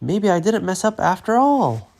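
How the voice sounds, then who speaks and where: A man's voice: high voice, big pitch swings, moderate pace, vocal fry, darkish tone, voice pushed up, full character voice. A man voicing an earnest, childlike young male raccoon in a children's storybook. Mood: amazed.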